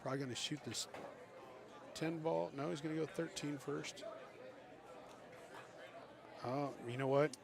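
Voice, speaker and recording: A man's voice: on the high side, unhurried, creaky, long pauses. Chatty man calling pool. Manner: chatty, excitable, coaching players aloud. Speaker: pool commentator and team captain. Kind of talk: live pool commentary. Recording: loud crowd around.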